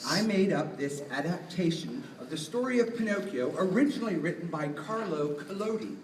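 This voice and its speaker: High-pitched, animated male puppeteer. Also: moderate pace, a little hiss or hum. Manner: playful, asks the audience questions. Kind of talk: introducing a live puppet show to children. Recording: echoey room, distant mic, music behind.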